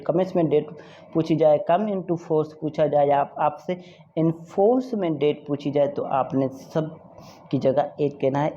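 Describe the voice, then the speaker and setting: Male law lecturer, mellow voice, dull lo-fi recording, repeats points and checks understanding. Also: a little high, slight background noise. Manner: mixes English legal phrases into explanations. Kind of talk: exam prep lecture.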